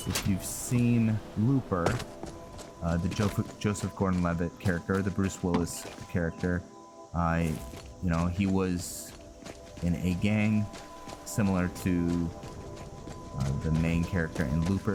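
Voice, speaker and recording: A man's voice: low voice, fairly even intonation, a little slow. A man doing casual, rambling game commentary, thinking aloud hesitantly and in fragments. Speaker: gaming host narrating a roleplay playthrough. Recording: loud game music behind the voice. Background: video game music and sounds.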